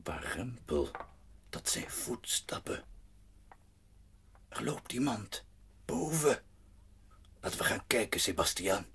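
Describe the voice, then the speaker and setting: Slow, grave gentleman, low voice. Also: sing-song, exaggerated voice. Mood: alert, hushed. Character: grave, concerned wealthy gentleman, master of the house. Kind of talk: children's radio play acting. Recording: clearly noisy audio play recording.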